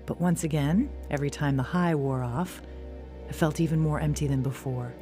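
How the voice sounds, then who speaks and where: A woman's voice: deep voice, normal speed, dark tone, faint music behind, natural voice. An actress playing a troubled young woman telling of her wild past in an audio drama. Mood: regretful. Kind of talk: dramatized first-person narration and acting.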